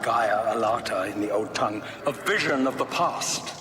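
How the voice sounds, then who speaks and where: An actor playing a zealous, grandiose cult-leader villain in a fantasy game, declaiming in a lofty, oratorical manner. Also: male voice, on the low side, normal speed, lightly acted. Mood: grandiose, taunting. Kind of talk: theatrical fantasy game monologue. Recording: echoey long reverb, music behind.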